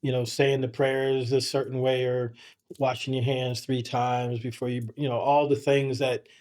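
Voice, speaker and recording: Man, middle-aged, podcast guest, earnest, measured, reflective, mid-range voice. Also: mid-range voice, little pitch movement, darkish tone. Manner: earnest, measured, with frequent restarts. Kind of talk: reflective conversational storytelling.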